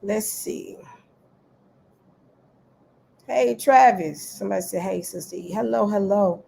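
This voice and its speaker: Congested woman, gravelly and casual. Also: mid-range voice, moderate pace, mellow, a little hiss or hum. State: losing her voice, sinus congestion. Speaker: live stream host. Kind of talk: casual late-night live chat.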